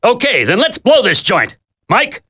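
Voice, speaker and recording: A man's voice: sits high, wildly swooping pitch, measured pace, pushed and bright, slightly rough, full character voice. A man voicing a brash, impatient squad leader in a video game, slangy, wisecracking and bossy. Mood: bossy, urgent.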